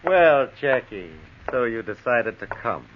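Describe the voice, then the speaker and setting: An actor playing a self-assured American schemer near sixty in an old radio crime drama, suave and sly. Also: male voice, mid-range voice, very animated. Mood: smug, genial. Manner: suave, sly, unhurried explaining. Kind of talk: 1940s radio drama acting. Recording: dull, hissy vintage radio recording.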